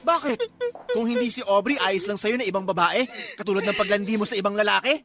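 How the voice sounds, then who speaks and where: A man's voice: high voice, animated, fast. A man playing a cheating live-in boyfriend in a Filipino radio drama, indignant, defensive and demanding.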